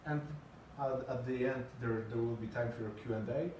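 A man in his thirties speaks English with a Polish accent, stopping often on fillers and repeating words as he presents. He sounds far from the mic in an echoey room. His pitch sits in the middle of the range. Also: steady tone, normal speed, a little mellow, slight background noise. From Poland. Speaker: conference speaker. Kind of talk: presentation talk. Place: small meeting room with green walls and a screen. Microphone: far camera, no mic seen.

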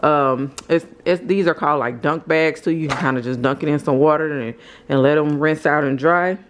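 A woman's voice: low voice, moderate pace. An American woman in her thirties casually showing off a shopping haul from behind a handheld camera at home. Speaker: vlogger. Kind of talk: casual haul show-and-tell. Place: indoors at home. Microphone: handheld camera, speaker behind it. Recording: clear background noise and handling clicks.

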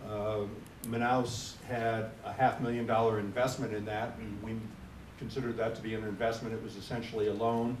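A man on a conference panel explaining his fund's work in a measured, explanatory way. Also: level tone. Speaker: panelist, nonprofit fund representative. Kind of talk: unscripted panel talk. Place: conference room. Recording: echoey room, distant mic, faint rumble.

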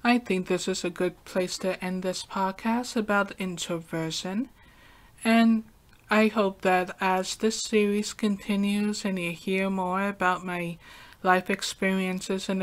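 A young adult woman hosting a podcast, talking through a calm, soft-spoken, reflective solo monologue. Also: mid-range voice, a little slow. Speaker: podcast host.

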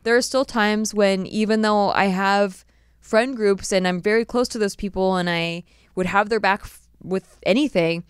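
A woman in her thirties hosts a casual podcast, talking in a smooth, clear voice at a middle pitch. She is chatty and animated, often dropping into playful voices. The sound is dry and close on a desk mic. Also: moderate pace, dry recording. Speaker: podcast host. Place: small podcast studio. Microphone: desk mic on a boom arm.

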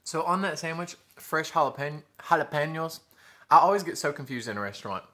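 A man's voice: fairly high pitch, lively intonation, fairly quick, bright, forceful tone. A man in his thirties with a Southern US accent, chatting to viewers between bites of food in a living room. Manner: chatty, addresses viewers as y'all, chews between remarks. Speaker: mukbang vlogger. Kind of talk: casual eating show chat. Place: living room with sofa and window blinds. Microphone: camera in front at table distance.

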